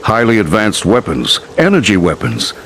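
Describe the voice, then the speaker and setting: A man's voice: on the low side, very animated, normal speed, creaky, a bit bright, slight background noise, full character voice. A man playing an earnest, anxious scientist explaining things in a superhero game. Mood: worried. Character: earnest, worried male scientist professor. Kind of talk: animated superhero game voice acting.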